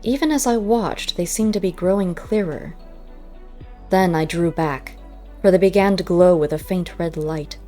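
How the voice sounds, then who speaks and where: A woman reads a first-person story in a fairly low voice with a very soft, dark tone. Her delivery is measured and literary, as an audiobook narrator. Quiet music runs behind her.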